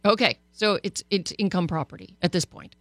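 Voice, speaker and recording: A woman hosting a radio call-in show as a psychologist, giving animated, encouraging advice. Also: lowish voice, a little fast, dry recording, clean studio sound. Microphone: studio microphone.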